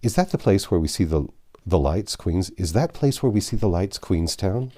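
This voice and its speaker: A man voicing a composed, resolute English gentleman traveler, speaking calmly and formally for a storybook. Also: low voice, moderate pace. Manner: calm, formal, direct.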